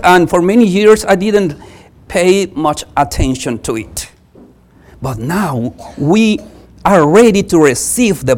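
A visiting preacher, a native Spanish speaker with a Spanish accent, delivers a church sermon in a halting, earnest way, repeating phrases for emphasis. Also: medium pitch.

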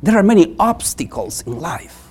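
A visiting preacher, a native Spanish speaker with a Spanish accent, delivers a church sermon in a halting, earnest way, repeating phrases for emphasis. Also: medium pitch, sing-song, darkish tone, husky.

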